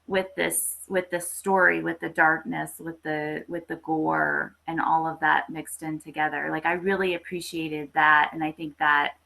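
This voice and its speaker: A woman's voice: sits low, creaky, a bit bright, poor audio. A woman hosting a podcast in casual literary discussion, often repeating phrases as she gathers her thoughts. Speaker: podcast host.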